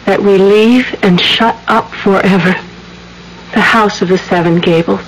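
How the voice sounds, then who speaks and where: Casting: actress for tender, earnest radio-play sweetheart, unhurried delivery, old broadcast with faint noise. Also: female voice, mid-range voice, fairly even intonation, close to an everyday voice, low-quality recording. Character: tender, earnest woman accepting her suitor's proposal. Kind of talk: 1970s radio drama acting.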